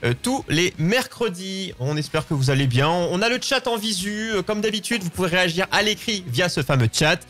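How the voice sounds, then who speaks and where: Higher-pitched man hosting casually. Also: animated, normal speed, few pauses, fairly bright tone. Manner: chatty, informal, joking. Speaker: show host. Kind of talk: casual live show presenting.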